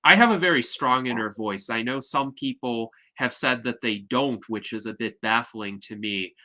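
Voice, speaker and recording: A man hosting an online discussion, talking in a measured, articulate way over a video call. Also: medium pitch, sharp tone. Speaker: host.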